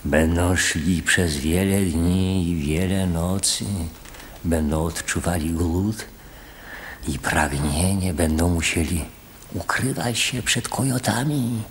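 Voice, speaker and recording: An actor playing an earnest, worried villager in a chorus, declaiming solemnly in a radio drama. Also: male voice, sits low, slow pace, slight background noise. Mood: worried, questioning. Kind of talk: theatrical radio drama acting.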